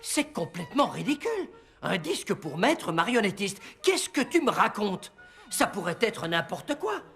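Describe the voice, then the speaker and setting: An exasperated cartoon father who distrusts what he hears. His voice runs high and very animated, with a theatrical, scolding delivery. Music plays underneath. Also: moderate pace, vocal fry, full character voice. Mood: exasperated, incredulous.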